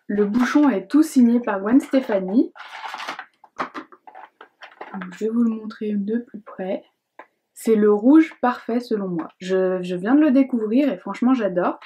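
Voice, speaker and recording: Slow-talking young woman vlogger, mellow voice. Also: on the high side, muffled recording. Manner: chatty, hesitant, many fillers and restarts; reads questions aloud in a brighter voice. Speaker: beauty vlogger. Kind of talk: casual chat to camera.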